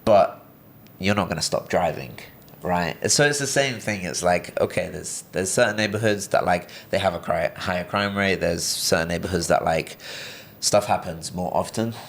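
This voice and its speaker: A man's voice: low voice, normal speed, creaky, slight background noise. A young man from Brazil, with a British-tinged accent, chatting casually as a podcast guest, rambling with lots of fillers and restarts. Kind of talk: casual interview chat.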